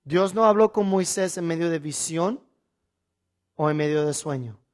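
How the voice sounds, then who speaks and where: Man preaching with deliberate, emphatic phrasing. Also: fairly high pitch. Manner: deliberate, emphatic phrasing with rhetorical questions and repetition. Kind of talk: Bible teaching sermon.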